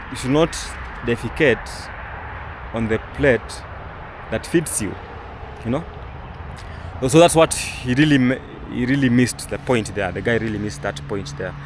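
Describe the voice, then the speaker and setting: Young guy vlogging outside, mid pitch, lots of uhs, traffic going by behind. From Kenya. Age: young adult. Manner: rambling, many fillers and restarts. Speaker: travel vlogger. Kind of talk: casual commentary to camera. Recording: outdoor recording with traffic noise.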